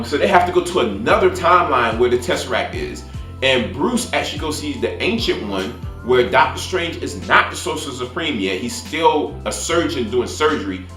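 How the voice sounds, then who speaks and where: A man's voice: medium pitch, fairly bright tone. A man hosting a casual movie review, retelling things in an informal, conversational way. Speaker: film review channel host. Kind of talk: casual movie recap with spoilers. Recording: roomy echo, faint music behind.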